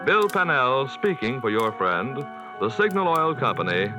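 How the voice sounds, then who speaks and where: A man's voice: mid-range voice, big pitch swings, measured pace, over music. A man speaking as a 1940s radio announcer, with a polished, emphatic salesman's delivery for the sponsor. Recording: dull vintage 1940s radio broadcast.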